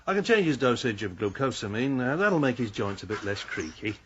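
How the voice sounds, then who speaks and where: An actor playing a kindly male country vet in a radio drama, soothing and gently hesitant. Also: mid-range voice, big pitch swings, brisk, background noise, natural voice. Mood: reassuring, hesitant. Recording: dull radio recording, busy background.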